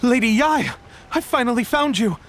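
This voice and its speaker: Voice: medium pitch, expressive, normal speed, background noise, close to an everyday voice. A performer voicing a nervous, deferential publishing employee in a video game, speaking hesitantly while reporting to a superior.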